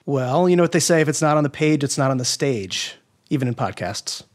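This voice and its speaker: A man speaking as a guest scriptwriter, explaining casually in an informal, matter-of-fact way with gentle teasing. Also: mid-range voice, expressive, fairly quick.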